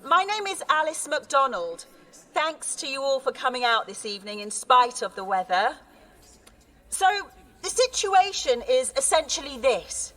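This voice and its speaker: A bright, impassioned teacher. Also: female voice, sits high, sing-song, moderate pace, far from the mic, full character voice. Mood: determined. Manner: firm, impassioned public speaking. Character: earnest woman teacher rallying a town meeting. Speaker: schoolteacher leading a town campaign. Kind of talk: audio drama.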